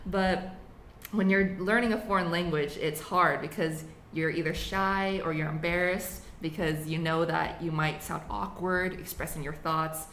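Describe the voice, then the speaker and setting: A young woman born in the United States, chatting casually as a student podcast co-host, relaxed and laughing often. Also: sits low, a little slow, hardly any pauses. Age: young adult. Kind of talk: casual chat. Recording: echoey room, faint hiss.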